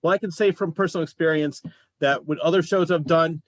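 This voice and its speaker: A man's voice: fairly high pitch, fairly quick, no echo. A man hosting a casual interview, talking in an encouraging, complimentary way. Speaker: show host, interviewer.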